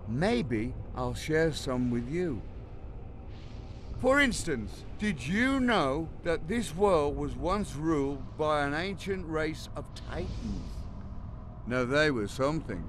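Rambling cryptic guardian of metal, high-pitched. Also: male voice, sing-song, takes it slowly, slight background noise. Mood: cryptic, musing. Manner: rambling, theatrical, swearing freely. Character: cagey rambling old male guardian of metal secrets. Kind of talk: video game cutscene acting.

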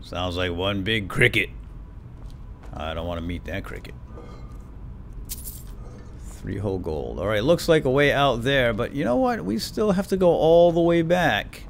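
A man's voice: medium pitch, lively intonation, a little slow, vocal fry, pauses often, a little hiss or hum. A man hosting a let's play with lightly role-played commentary, murmuring asides and breaking into exclamations. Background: game music.

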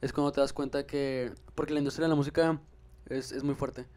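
Smooth-voiced young guest. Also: male voice, mid-range voice, steady tone, a little fast, a little mellow. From Mexico. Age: young adult. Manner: easygoing, hesitant fillers. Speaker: podcast guest, musician. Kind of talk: casual interview chat. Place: small room, seated on a couch. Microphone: handheld microphone.

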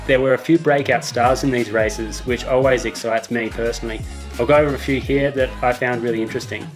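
A young man presenting a ski racing recap vlog from his living room, in a measured, even delivery. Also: medium pitch. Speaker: ski vlogger. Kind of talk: scripted recap commentary. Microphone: small mic on tripod at desk.

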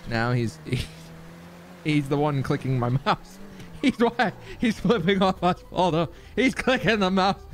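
A man's voice: high voice, lively intonation, unhurried. A male streamer chatting casually and amusedly between game scenes. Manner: amused, laughing.

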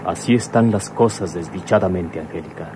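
An actor playing a commissioner's grown son in a radionovela, sober and courteous. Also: male voice, sits low, moderate pace, lightly acted. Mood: explaining, defensive. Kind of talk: radionovela acting. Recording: faint vehicle noise behind.

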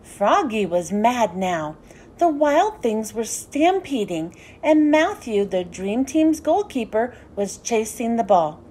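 A woman reading a children's storybook aloud in an animated, sing-song way with deliberate phrasing. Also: on the high side, slightly rough. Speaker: children's book read-aloud host. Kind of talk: expressive storybook narration for young children.

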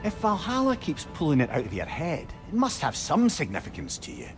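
An actor playing a wry, chatty old talking head and loyal advisor with a Scottish accent in a video game. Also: male voice, fairly high pitch, wildly swooping pitch, fairly quick. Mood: earnest, persuasive. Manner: chatty, wry, earnest persuasion. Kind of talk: video game dramatic acting. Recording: game audio under music.

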